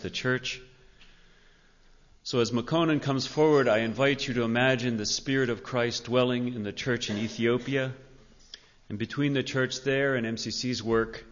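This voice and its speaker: A man speaking as a pastor to his congregation, earnest and measured, in the style of a church address. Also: medium pitch, a bit bright. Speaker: pastor introducing a guest speaker. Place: large indoor church sanctuary. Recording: reverberant church hall, dull sound.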